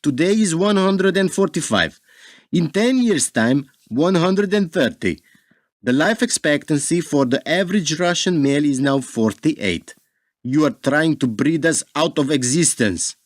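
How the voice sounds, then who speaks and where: A grave, defiant Russian ambassador played by a man in a radio drama, formal and emphatic. His fairly high voice moves widely in pitch, and the sound is dry and close. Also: animated, measured pace, no room sound. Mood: aggrieved, indignant.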